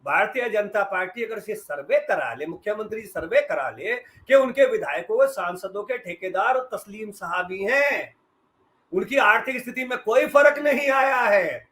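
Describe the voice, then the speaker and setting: A man's voice: high voice, expressive, normal speed, pushed and bright. A middle-aged man gives sarcastic, emphatic political commentary as a journalist on a debate panel. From western Uttar Pradesh, India.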